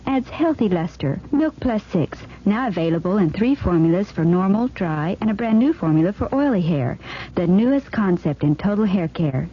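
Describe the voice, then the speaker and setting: Breathy female commercial announcer. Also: medium pitch. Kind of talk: scripted ad read. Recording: dull old radio broadcast.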